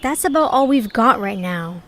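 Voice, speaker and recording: A woman's voice: a little high, expressive, normal speed, lightly acted. A woman voicing a blunt, questioning little creature in an audio drama.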